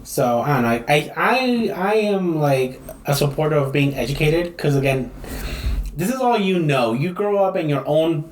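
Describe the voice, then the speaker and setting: A man co-hosting a casual podcast chat, animated, laughing often and acting out quotes. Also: on the high side, normal speed, a little hiss or hum. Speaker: podcast co-host. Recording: echoey room.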